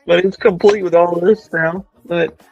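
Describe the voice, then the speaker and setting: Excitable high-voiced man, lo-fi stream. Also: a little slow, creaky, slightly rough, no room sound, soft background music. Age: 30s. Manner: excited, rambling, restarts often. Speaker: streamer showing toy collection. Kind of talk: casual chat.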